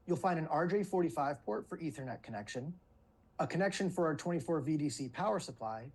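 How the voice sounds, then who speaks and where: A man with a slightly husky voice gives a scripted product presentation in a webinar. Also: on the high side, darkish tone. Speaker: company presenter.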